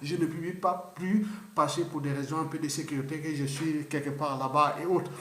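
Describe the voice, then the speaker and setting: A man's voice: fairly high pitch, fairly even intonation, brisk, bright, forceful tone. A man, giving an unscripted political monologue that rambles, repeats itself and presses its points hard. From Republic of the Congo. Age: 50s. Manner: rambling, repetitive, emphatic with restarts. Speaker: political commentator. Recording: roomy echoey room.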